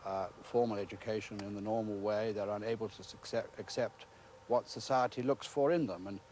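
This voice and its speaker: A man with a British accent speaks as a school principal being interviewed. His voice is on the low side, and he explains things in formal, earnest, measured phrases. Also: fairly even intonation, darkish tone, a little hiss or hum.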